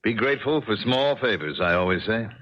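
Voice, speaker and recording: A man's voice: lowish voice, lively intonation, a little fast. An American actor playing a grumbling, self-assured suburban man with a dry manner in a 1970s radio drama. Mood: casual. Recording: dull vintage radio recording with music.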